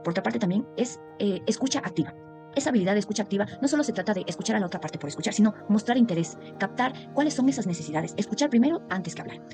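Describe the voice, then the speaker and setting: A woman's voice: mid-range voice, animated, racing, over music. A woman giving an explanatory academic presentation as a student, setting out her points in a didactic way. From Ecuador.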